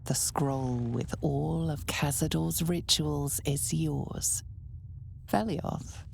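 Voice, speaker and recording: An actress playing a solemn, ominous storyteller, narrating a fantasy video game in a measured, deliberate way. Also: female voice, sits low, vocal fry, a little hiss or hum, heavily acted. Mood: grave, ominous. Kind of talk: dramatic scripted game narration.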